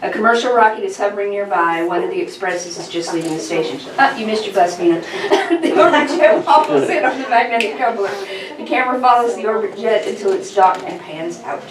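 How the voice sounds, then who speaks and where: A woman's voice: medium pitch, lively intonation, brisk, crowd noise. A woman narrates stage directions from a script in an echoey room. She sits some way from the mic, with other people audible around her. It sounds like an informal table read. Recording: roomy, distant mic, chattering group behind.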